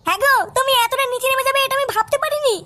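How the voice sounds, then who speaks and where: A woman voicing a scolding, sharp-tongued young cartoon housewife, pitched up and accusatory. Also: very fast, heavy background noise. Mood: accusing. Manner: nagging, accusatory.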